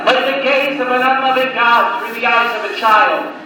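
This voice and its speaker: A distant, very echoey cassette recording of a man on stage doing stand-up. His voice is strikingly high for a man. He delivers one-liners deliberately, leaving long pauses between them. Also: fairly even intonation. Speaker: stand-up comedian. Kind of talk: live stand-up comedy set of one-liners. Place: live comedy venue. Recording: noisy cassette tape, echoey room, distant mic.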